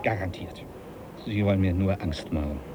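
An actor playing a devout, stubborn sea captain who dismisses a troubling idea with rising agitation. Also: male voice, low-pitched, moderate pace, dark tone, slight background noise, close to an everyday voice. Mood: defiant.

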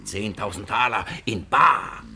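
A man's voice: fairly low pitch, lively intonation, unhurried, pushed and bright, exaggerated voice. An actor in a classic German radio play playing a miserly old master, frantic about his stolen money, stammering, wailing and ranting. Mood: indignant, demanding.

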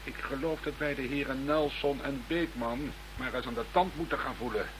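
An actor playing a brisk, wry senior police investigator in a radio play, authoritative and matter-of-fact. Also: male voice, medium pitch, noisy background, natural voice. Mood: resolute.

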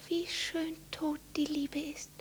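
High-voiced, entranced mad girl. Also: little pitch movement, slow pace, slight background noise. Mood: entranced, whispering. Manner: dreamy, trance-like, repetitive, breathy murmuring. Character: feverish, deranged young girl murmuring about dead love. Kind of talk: 1950s German radio drama acting.

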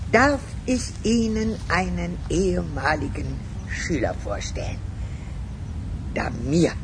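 A woman's voice: lowish voice, animated, slow pace, background noise. An actress playing an effusive, theatrical old woman, a doting teacher, in a radio drama. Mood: delighted. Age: older. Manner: gushing, repeats words for emphasis.

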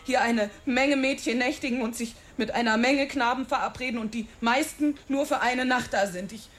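An actress voicing a jittery young woman who works as a movie extra, speaking high and bright and tripping over her words as she rushes them out in a radio play. she stammers and hurries. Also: soft background music, close to an everyday voice. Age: young adult. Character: young woman, aspiring film extra, nervous and chatty. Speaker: witness. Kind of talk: German radio drama acting.

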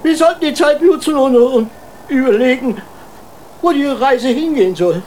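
An actor playing a deferential, worried court marshal in a children's radio play, halting, stammering and politely cautious. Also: sits high, lively intonation, a little mellow, a little hiss or hum. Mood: hesitant, dutiful.

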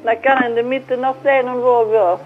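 A woman's voice: fairly high pitch, fairly even intonation, a little fast. A woman talks on a dull telephone line with faint noise behind her. She sounds amused and plain-spoken, answering as a butcher's wife. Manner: amused, down-to-earth. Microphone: telephone call.

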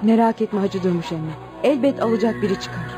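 A woman's voice: medium pitch, fast, music in the background, natural voice. An actress playing a defiant, resolute young village woman orphaned and grieving, in an earnest, declamatory radio-theatre style. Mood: bitter, resolute.

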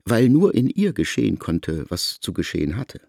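A man narrating a literary radio drama in a formal, emphatic, expressive manner. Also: fairly low pitch, moderate pace, vocal fry, breathy edge, dry recording, clean studio sound.

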